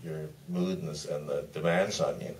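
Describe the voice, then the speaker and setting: An older man answering off the cuff as a film director on a panel, in a hesitant, meandering way with pauses. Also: extremely low pitch, fairly even intonation, a little mellow. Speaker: documentary director guest on a Q&A panel. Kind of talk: spontaneous panel discussion. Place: theater stage at a live Q&A. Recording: distant mic, faint room noise.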